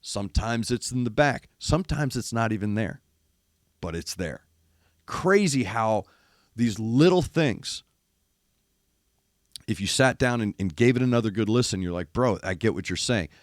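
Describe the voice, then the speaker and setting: An older man, a former rock radio DJ, hosts a music reaction show. He is losing his voice and sounds gravelly, speaks emphatically, and mimics drum hits while talking. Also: fairly low pitch, lively intonation, moderate pace, long pauses, dry recording, clean studio sound. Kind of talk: casual music commentary. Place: studio in east Nashville.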